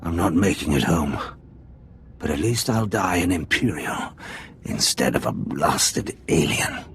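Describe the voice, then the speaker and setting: A man's voice: a bass voice, expressive, a little slow, vocal fry, a little hiss or hum, exaggerated voice. A man playing a proud, bitter undercover Imperial spy who is mortally wounded, in a video game. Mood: bitter, resigned. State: weak, mortally wounded acting.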